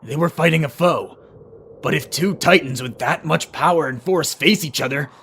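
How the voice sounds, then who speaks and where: A man playing an earnest, alarmed young hero in an animated fantasy, speaking with dramatic urgency. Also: a little high, normal speed, a little hiss or hum, lightly acted. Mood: worried.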